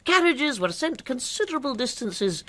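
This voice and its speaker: A woman's voice: high voice, very animated, moderate pace, a bit bright, full character voice. A woman acting in a period radio drama as a pompous, boastful impostor who passes herself off as a male doctor. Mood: boastful, charming.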